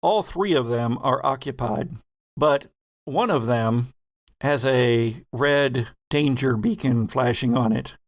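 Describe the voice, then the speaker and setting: A man runs a tabletop horror game, narrating at a slow pace with deliberate, suspenseful pauses. His voice sits at a middle pitch and is recorded dry and close. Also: no echo. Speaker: game master.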